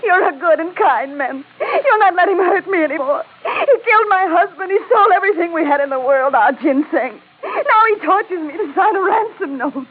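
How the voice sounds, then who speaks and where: An actress playing a scheming woman who poses as a melodramatic damsel in distress, theatrical and flattering, in an old radio drama. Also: extremely high pitch, brisk. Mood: tearful pleading. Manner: theatrical, pleading, overwrought. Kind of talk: 1940s-50s radio drama acting. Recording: tinny, noisy old radio recording.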